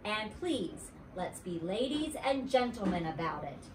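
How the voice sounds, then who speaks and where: Stern schoolteacher, firm and deliberate, done by a woman reader; distant mic, faint hiss. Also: medium pitch, sing-song, roomy sound. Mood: instructing. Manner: firm, scolding, slow and deliberate. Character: stern female schoolteacher, adult. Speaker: teacher.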